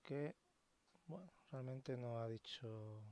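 A man's voice: medium pitch, slow pace, very noisy. A man doing casual let's play commentary, chatty, swearing and thinking aloud. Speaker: gameplay commentator.

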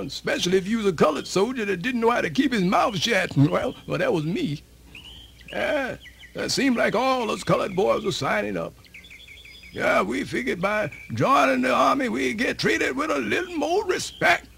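An actor playing an old man from the American South, telling his life in a rambling, folksy, gravelly radio-theatre monologue with a Southern accent. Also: very high voice, sharp tone, a little hiss or hum, full character voice. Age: older. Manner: rambling, repeats himself, folksy.

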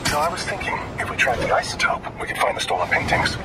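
An actor playing a dogged, businesslike veteran police detective near retirement in a video game. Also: male voice, fast talker, lightly acted. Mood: earnest, dogged. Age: older. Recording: distant voice under loud city noise.

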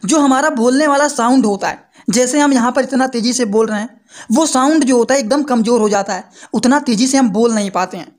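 A man's voice: very high-pitched, a little fast. A young man explaining a medical topic to students in a lecture, repeating points and checking with rhetorical questions over his slides. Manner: repetitive, asks listeners rhetorical checks. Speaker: pharmacy teacher.

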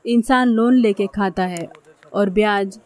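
A woman's voice: mid-range voice, unhurried, a little mellow. A woman reading scripted narration for a documentary.

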